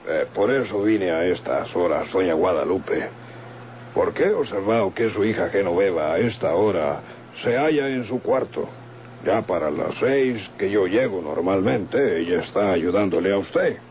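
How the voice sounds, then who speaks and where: A man's voice: low voice, animated, moderate pace. An actor playing a deferential older night watchman with a Mexican accent in an old radio soap, hesitant, formal and apologetic. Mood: hesitant, discreet. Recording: tinny, faintly noisy vintage radio recording.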